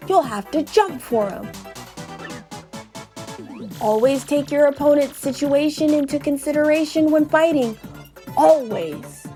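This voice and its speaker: Woman voicing an earnest, high-voiced game professor. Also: unhurried, long pauses, mellow, faint music behind. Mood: earnest instructing. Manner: didactic, emphatic, advising. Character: instructive professor character coaching a fighter in a game.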